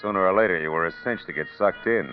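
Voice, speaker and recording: A muffled old radio drama with music behind it. An actor plays a tough American male private eye with a low voice. He is terse, cynical and sardonic, talking in hard-boiled slang. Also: rapid-fire, lightly acted. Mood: wry narration. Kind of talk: classic American radio noir acting.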